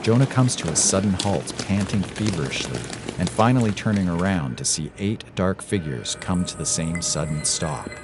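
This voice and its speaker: A man's voice: deep voice, expressive, normal speed, hardly any pauses, noisy background. A man giving dramatic scripted narration of a science fiction story. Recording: music clearly underneath. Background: music.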